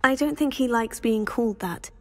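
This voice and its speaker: High-voiced, self-assured schoolgirl witch. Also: normal speed, fairly bright tone. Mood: composed. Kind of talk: video game character acting.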